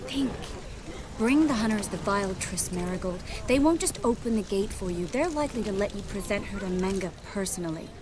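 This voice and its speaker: A woman's voice: medium pitch, lively intonation, fairly quick, lightly acted. A woman playing a brave, self-possessed sorceress in a video game, speaking in a frank and determined manner. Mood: insistent. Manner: determined, direct, matter-of-fact. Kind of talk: video game dialogue acting. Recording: music behind the voice.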